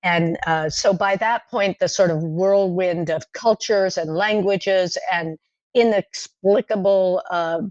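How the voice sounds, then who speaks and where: A woman's voice: fairly low pitch, no room sound. Thoughtful woman with dry, muffled sound. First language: French. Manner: thoughtful, halting, frequent fillers and restarts, light laughter. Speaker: playwright guest. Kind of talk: podcast interview, reminiscing.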